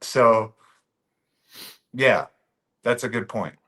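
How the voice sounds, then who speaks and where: A man from Bosnia talks as a guest in a casual podcast chat. His voice sits at an ordinary middle pitch. He speaks earnestly and stresses his points by repeating them. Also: extremely slow, bright, forceful tone. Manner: earnest and emphatic, repeats key phrases.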